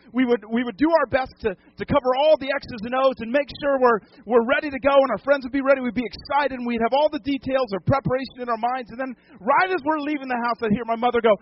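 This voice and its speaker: Man, pastor, from new england, high voice, emphatic sermon, repeats his points, dull lo-fi sound. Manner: emphatic, repeats phrases, asks the congregation questions. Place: church sanctuary.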